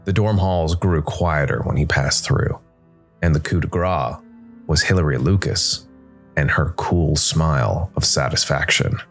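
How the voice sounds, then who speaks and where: A man narrating a scripted dark fantasy story in a measured way, with dramatic pauses. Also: very deep voice. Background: background music.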